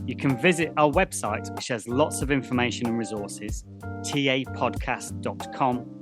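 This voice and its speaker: A man's voice: medium pitch, moderate pace, over music. A man co-hosting a podcast, reflecting earnestly and unhurriedly and then reading the show's outro. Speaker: podcast co-host. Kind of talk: casual reflection and outro announcement.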